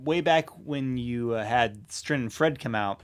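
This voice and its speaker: A man's voice: medium pitch, unhurried, clean tone. A man co-hosting a podcast, asking questions in a casual, curious and encouraging way. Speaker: podcast co-host. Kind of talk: casual interview-like chat.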